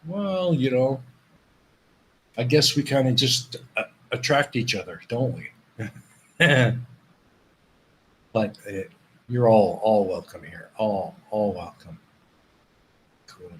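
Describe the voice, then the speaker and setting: A man's voice: lowish voice, lively intonation, slow, long pauses, slight background noise, low-quality recording. A man who grew up in Canada with Dutch as his first language, hosting a casual live stream, rambling and repeating himself as he reads viewers' chat.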